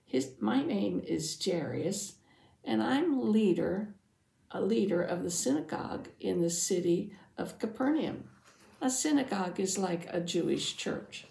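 A woman voicing a devout, anxious father who earnestly tells his Bible story, dramatically, with hushed phrase endings. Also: mid-range voice, lively intonation, a little slow, vocal fry. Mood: earnest, warm welcome. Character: devout anxious father, synagogue leader, telling his testimony. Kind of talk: first-person Bible storytelling for families. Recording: roomy, echoing sound.

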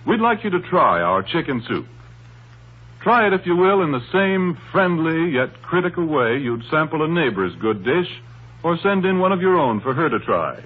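Animated, husky 1930s male radio announcer. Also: on the high side. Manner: polished, persuasive, formal. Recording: thin, phone-like 1930s radio recording.

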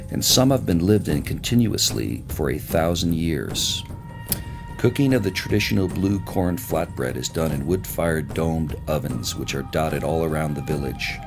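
Deep gravelly male documentary narrator. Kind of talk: scripted travel documentary narration. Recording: narration over loud music.

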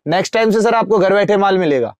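A young man in a crowded cosmetics shop, rattling off wholesale prices per dozen and per piece in a rapid sales patter. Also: high-pitched, expressive, a little mellow, dry recording. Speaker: wholesale shopkeeper. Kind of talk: sales pitch to camera.

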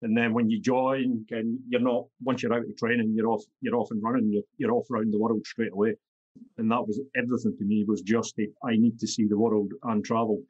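A man's voice: fairly low pitch, level tone, fairly quick, darkish tone, slightly rough, lo-fi sound. A Scottish man in his fifties, a podcast guest talking bluntly and matter-of-factly. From Scotland. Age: 50s. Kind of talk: podcast interview.

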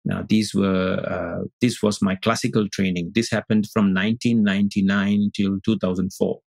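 A middle-aged man from Singapore, a teacher speaking as a podcast guest over a video call, thoughtful and halting with many restarts. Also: fairly low pitch, level tone, vocal fry, a little mellow, dry recording. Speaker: guest, Advaita Vedanta teacher. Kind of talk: casual interview talk. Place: indoor room on video call.

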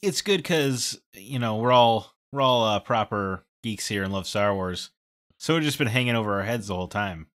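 A man's voice: on the low side, lively intonation, moderate pace, a bit bright. A man co-hosting a video game podcast, joking and teasing in casual chat. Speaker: podcast co-host.

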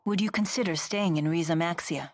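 A resolute, otherworldly young woman in a fantasy game, played by a woman. Her voice is low for a woman, composed and matter-of-fact. The recording is dry. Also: normal speed, no echo, close to an everyday voice. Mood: earnest, calm. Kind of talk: English game voice acting.

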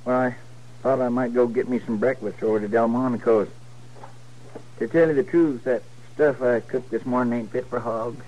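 An actor playing a folksy, fussy frontier deputy with a drawling, deferential rural Western accent in 1950s radio drama. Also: male voice, medium pitch, fairly even intonation, normal speed, a little mellow, dull audio, natural voice. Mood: huffy. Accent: rural Western American. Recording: noisy vintage radio recording with clicks.

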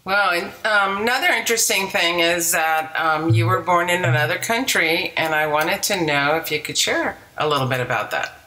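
A woman hosts a radio interview program with a low-pitched voice. She introduces the show and asks her questions calmly, at a measured pace. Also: low-quality recording.

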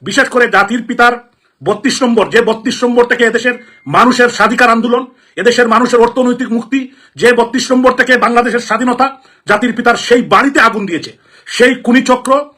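A man's voice: very high-pitched, fairly even intonation, a little fast, bright, forceful tone. A Bangladeshi man from Sylhet, a politician giving an impassioned political address in an emphatic, repetitive, indignant manner. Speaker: politician, elected representative.

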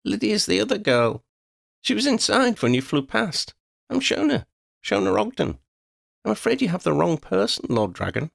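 A man's voice: high-pitched, huge pitch swings, normal speed, gravelly, no echo, clean studio sound, voice pushed up, full character voice. A man reading a story, voicing a young woman heroine in a raised, defiant voice. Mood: protesting. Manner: indignant, challenging.

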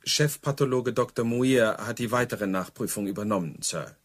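An actor playing a deferential, principled police subordinate in a radio play, speaking formally with dry, pedantic politeness. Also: male voice, mid-range voice, moderate pace, breathy edge, faint music behind, lightly acted. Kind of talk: German radio play dialogue.

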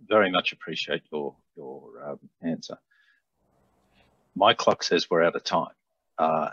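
Deep husky man on a headset call. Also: a bit bright, no room sound. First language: English. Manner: gracious, thanking at length. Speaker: panel moderator. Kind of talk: closing remarks on a video call. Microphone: headset on video call. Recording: dull, dry video-call audio.

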